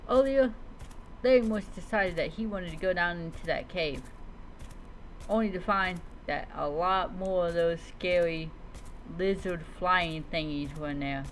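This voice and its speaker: A woman's voice: fairly low pitch, a little slow, slight background noise, rough recording. A woman narrating a video game in character, with a theatrical, exclamatory storytelling manner. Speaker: streamer role-playing a character in a video game.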